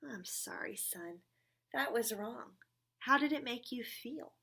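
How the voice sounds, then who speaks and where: A kind, supportive storybook dad, put on by a woman reader. The voice is on the high side with a soft husk, and the delivery is gentle and encouraging. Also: lively intonation, slow, creaky, breathy edge, heavily acted. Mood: sympathetic. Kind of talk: children's storybook reading.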